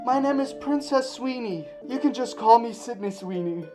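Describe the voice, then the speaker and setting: A streamer plays a young princess in a puppet show, bored with castle life and easily smitten. The voice is soft and gentle. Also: medium pitch, expressive, normal speed, over music, voice pushed up, natural voice. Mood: tender.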